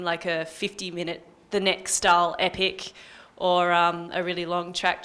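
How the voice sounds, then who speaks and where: A woman giving practical, measured advice in casual panel talk. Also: lowish voice, bright, forceful tone. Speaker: community radio panelist.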